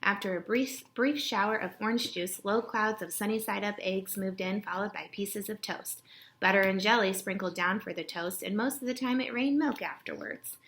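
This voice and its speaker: A woman's voice: medium pitch, normal speed, a bit bright. A woman reading a children's storybook aloud to a young child. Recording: noisy home recording with clicks.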